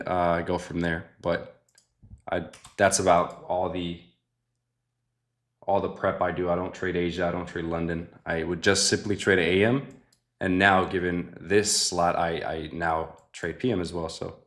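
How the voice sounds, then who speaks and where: Low-voiced young man talking casually. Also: little pitch movement, moderate pace. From Mexican family. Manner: laid-back, thinks aloud, often mutters quietly to himself. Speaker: livestream host trading futures. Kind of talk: casual live trading commentary.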